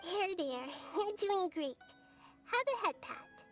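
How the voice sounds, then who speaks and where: Game role: tiny wise child goddess, extremely high voice, earnest, gently inquisitive, over music. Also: female voice, expressive, takes it slowly, heavily acted. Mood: gently comforting. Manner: soft-spoken, thoughtful, quizzical, speaks in animal analogies. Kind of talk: video game character dialogue.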